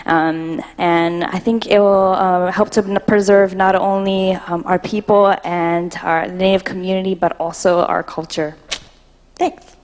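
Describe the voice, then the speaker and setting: Student from Hawaii, young woman, low-pitched, halting pitch with fillers, reverberant hall. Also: level tone, darkish tone. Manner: hesitant, many fillers and restarts. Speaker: student presenter. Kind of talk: short research pitch. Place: large room indoors. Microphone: handheld mic.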